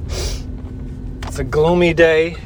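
A forty-year-old man rambling casually and haltingly as he muses aloud while driving. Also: on the high side, flat delivery. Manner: halting, reflective, musing aloud. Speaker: vlogger, musician. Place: inside a moving car. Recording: noisy car interior with road noise.

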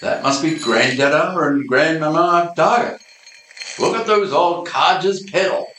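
A man's voice: fairly high pitch, measured pace, sharp tone, roomy sound, slight background noise. A man playing a bumbling, easily impressed detective in an amateur radio play. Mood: excited.

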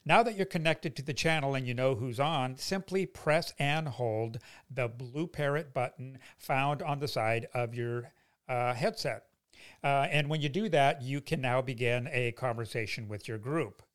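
A man's voice: medium pitch, a little slow. Middle-aged American man, folksy podcast host. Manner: folksy, explanatory, salesy. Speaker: podcast host, company founder. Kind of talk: conversational product podcast monologue.

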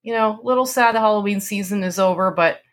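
A woman's voice: medium pitch, quick pace. A woman hosting a podcast, moving between dramatic story narration and casual chat. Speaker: podcast host and story reader.

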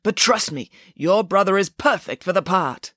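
A woman's voice: mid-range voice, lively intonation, normal speed, a bit bright, no room sound, studio quality, voice pushed up. Woman doing a brash, pushy casting man. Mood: persuasive. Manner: pushy, salesmanlike. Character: brash, persuasive, smooth-talking male casting agent. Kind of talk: children's storybook character voice.

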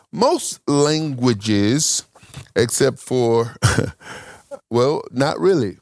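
Slow, deliberate male Bible teacher. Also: a little high, darkish tone, dry recording, clean studio sound. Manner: slow, questioning, thinks aloud in broken phrases. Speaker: preacher teaching a congregation.

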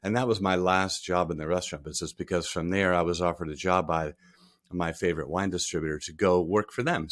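A man in his sixties from Rhode Island, a podcast guest recalling his career in a relaxed, storytelling way, often trailing off softly at the ends of phrases. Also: sits low, no room sound, clean studio sound. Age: 60s. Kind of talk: casual interview.

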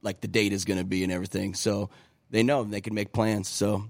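A chatty man with a slightly low voice, sharing band plans in a wry, casual podcast chat. The recording is dry and close. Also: flat delivery, a little fast, darkish tone, no echo.

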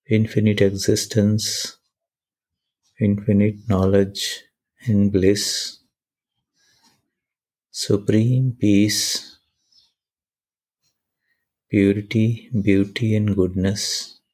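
A man leading a guided meditation and prayer in measured, deliberate short phrases with pauses between them. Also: on the low side, darkish tone.

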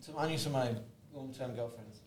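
A man's voice: medium pitch, steady tone, a little fast. A man born in the UK and raised in Australia, with a British Australian accent, hosting a casual chat and teasing his co-hosts with frequent laughter. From United Kingdom, grew up in Australia. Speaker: podcast host.

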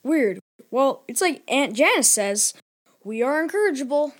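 An actor playing an excitable, combative boy in a children's audio drama, with a brash, teasing manner. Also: sits high, unhurried. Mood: amazed. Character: excitable, combative boy eager for pirates and scrapes.

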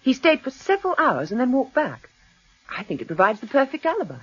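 A woman's voice: sits high, wildly swooping pitch, brisk, a bit bright, breathy edge, heavily acted. A woman playing a determined, gracious upper-class English lady in a radio drama. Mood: concerned. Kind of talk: BBC radio drama acting. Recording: dull archive radio recording.

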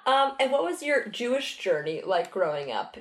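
A woman's voice: a little high, very animated, moderate pace. Gushing giggly young woman host. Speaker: podcast host. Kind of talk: casual interview. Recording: echoey room.

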